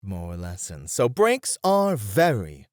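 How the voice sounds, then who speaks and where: A man playing a courtly, gently teasing gentleman tutor of flirtation in a scripted ASMR roleplay, with genteel, flowery, measured speech. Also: lowish voice, wildly swooping pitch, no echo, studio quality, full character voice. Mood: impressed, gracious.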